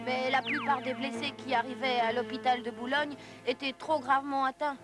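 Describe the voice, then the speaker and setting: A woman's voice: high voice, little pitch movement, moderate pace. A woman reading scripted narration for an educational cartoon. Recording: music behind the voice.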